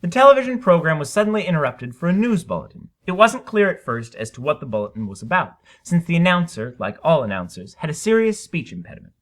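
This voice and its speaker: Animated, bright-voiced man reading a story. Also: a little high, fast talker, hardly any pauses. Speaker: story reader. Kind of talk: dramatic scripted narration of a short story.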